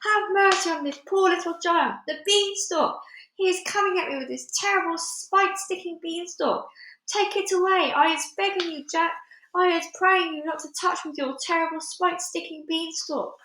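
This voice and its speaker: A huge brute of a storybook giant, performed by a woman, wailing in terror. The voice leaps far up in pitch and bellows loudly, frantic and pleading, in an echoey room. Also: moderate pace. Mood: desperate begging. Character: huge male brute giant, terrified, screaming and begging in nightmare.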